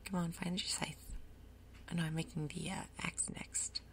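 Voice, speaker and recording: Woman, very low pitch, soft whispery ASMR delivery, gentle and unhurried, gaming host. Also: level tone. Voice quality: breathy, whispery. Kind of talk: soft-spoken ASMR game commentary.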